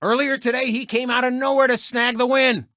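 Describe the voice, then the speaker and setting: A man's voice: extremely high pitch, little pitch movement, brisk, pushed and bright, no room sound, studio quality. A man voicing a brash, gloating male race car who hosts a racing show as a cocky, mocking sportscaster in a video game. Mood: showy commentary. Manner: cocky, smug, showboating, mocking. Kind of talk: animated video game character commentary and taunts.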